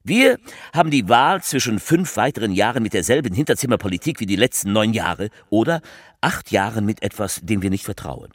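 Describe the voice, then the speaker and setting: A man playing a political speechmaker in a theatrical radio piece, addressing the people with halting, emphatic, repetitive rhetoric. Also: lowish voice, animated, dry recording, clean studio sound. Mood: fervent, declamatory.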